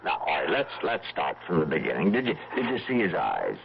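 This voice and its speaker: A man's voice: rumbling low, huge pitch swings, a little fast, vocal fry, heavily acted. An actor playing a concerned, skeptical husband, stammering as he questions and reasons, in old radio drama style. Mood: exasperated reasoning. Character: concerned, skeptical husband soothing his wife. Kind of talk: 1970s-80s radio drama acting. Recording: dull, noisy vintage radio broadcast.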